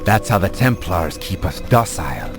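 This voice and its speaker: An actor playing a wry, confiding resistance informant in a medieval video game. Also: male voice, low-pitched, very animated, normal speed, vocal fry, over music, exaggerated voice. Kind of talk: video game cutscene acting.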